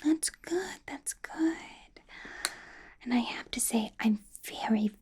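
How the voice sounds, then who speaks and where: A woman playing a possessive, doting female vampire boss in a whispered ASMR roleplay, coaxing softly with a sweetly sinister edge. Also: a little high, expressive, heavily acted. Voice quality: breathy, whispered. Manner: slow, coaxing, soft giggly delivery.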